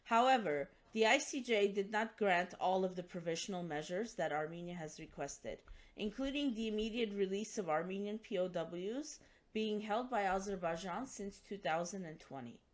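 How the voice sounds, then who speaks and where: A woman's voice: mid-range voice, moderate pace. Formal woman interview host. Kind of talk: formal scripted introduction and interview.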